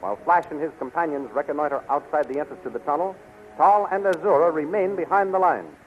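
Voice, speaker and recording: A dramatic serial storyteller voiced by a man in 1930s radio style. The voice is high, set over faint music on a muffled vintage recording. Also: quick pace, close to an everyday voice. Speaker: narrator. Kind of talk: scripted 1930s radio narration.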